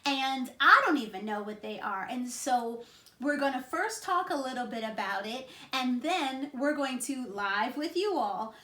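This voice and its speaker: A woman's voice: high voice, expressive, measured pace, pushed and bright. A woman in her thirties chatting earnestly in a home vlog, with frequent ums and restarts. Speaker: vlogger and wife. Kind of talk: casual home vlog chat. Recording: echoey room.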